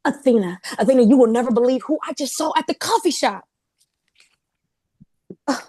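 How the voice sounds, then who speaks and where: A woman plays a gossipy office assistant in a dramatic script reading. She is chatty and expressive, with a soft, dark tone recorded very dry. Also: high voice, normal speed, husky, no room sound. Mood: chatty, flustered. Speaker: actor in a script table read.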